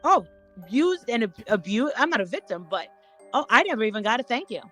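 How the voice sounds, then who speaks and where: A woman chatting casually as a panel guest, rambling and haltingly repeating her words as she goes. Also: fairly high pitch, sing-song, fairly bright tone, soft background music. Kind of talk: casual gossip chat.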